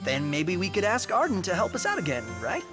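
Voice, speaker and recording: An actor voicing an anxious, excitable young male sidekick in a video game, speaking informally. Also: sits high, fairly quick, music in the background. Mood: anxious, hopeful.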